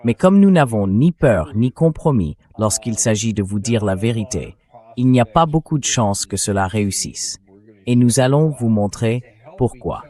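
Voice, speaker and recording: A man's voice: mid-range voice, darkish tone. A man hosting a political interview show, speaking as a translated synthetic voice-over.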